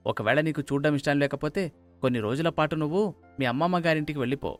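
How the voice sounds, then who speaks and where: A man's voice: on the high side, very fast, soft background music, lightly acted. A man voicing a middle-aged father who indulges his favourite, emphatic and paternal, in a cartoon moral story. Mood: scolding.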